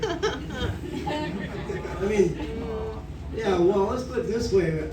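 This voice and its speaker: An older man in his sixties works a club stage, talking slowly in a rambling, halting way, his pitch bouncing all over. He sounds a long way from the mic, in a room full of echo and steady noise. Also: distant mic. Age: 60s. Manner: rambling, halting delivery with many fillers. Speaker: stand-up comic. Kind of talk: stand-up comedy set. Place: comedy club stage, audience laughing. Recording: echoey club room recorded from afar with noise.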